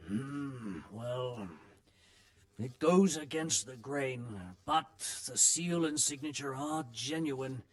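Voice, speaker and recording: An actor playing a suspicious, officious medieval official in a video game, speaking in a dry, measured, sceptical way. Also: male voice, mid-range voice, natural voice. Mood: wary, grudging. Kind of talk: video game cutscene acting.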